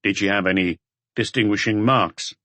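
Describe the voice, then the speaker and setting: A man playing a calm police detective chief who questions tersely and methodically in an audiobook. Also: fairly low pitch, moderate pace, dry recording. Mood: calm, probing.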